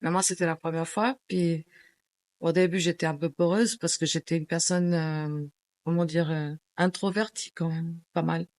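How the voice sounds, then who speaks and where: A woman's voice: lowish voice, fairly even intonation, normal speed, darkish tone. A middle-aged woman from Portugal, chatting in an interview, hesitant, with many fillers and restarts. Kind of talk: casual interview chat.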